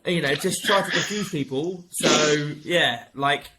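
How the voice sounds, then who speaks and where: A young British man co-hosting a podcast, congested from a cold, talking in an animated, jokey way with casual swearing. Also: on the high side, unhurried, background noise. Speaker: podcast co-host and personal trainer.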